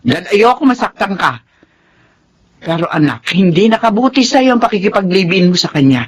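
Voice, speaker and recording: Nagging older Filipino mother, animated. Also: medium pitch, normal speed, slightly rough. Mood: worried, pleading. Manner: nagging, emphatic. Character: concerned, scolding mother of a grown son, a grandmother. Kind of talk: Filipino radio drama acting.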